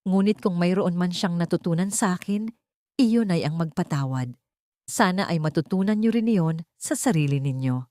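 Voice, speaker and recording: Older Filipino mother, played by a woman narrator, deep mellow tone, wounded dignity, reproachful, audiobook drama. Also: moderate pace, no echo, studio quality, natural voice. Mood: firm, wounded. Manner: anguished, reproachful. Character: grieving, dignified older mother, firm yet forgiving.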